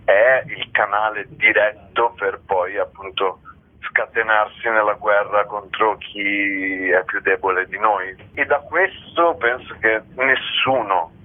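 A man giving a radio interview as a theatre guest, speaking reflectively and haltingly, often repeating his words. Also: lowish voice. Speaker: guest theatre actor and author. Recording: tinny phone line on radio.

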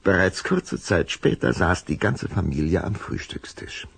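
Male narrator for children's radio play, deep and creaky, expressive delivery. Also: a little slow, no echo. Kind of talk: scripted audio drama narration for children.

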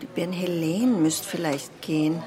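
An actress playing a fussy, easily offended middle-aged mother in a radio play, complaining and fastidious. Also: lowish voice, close to an everyday voice. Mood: offended, squeamish. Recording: busy café chatter behind.

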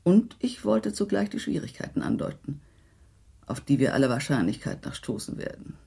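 An actress playing a gracious, tactful minister's wife in a German radio play, speaking in a formal, measured, diplomatic way. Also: female voice, low-pitched, sing-song, gravelly, dark tone, breathy edge, full character voice. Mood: measured, reassuring.

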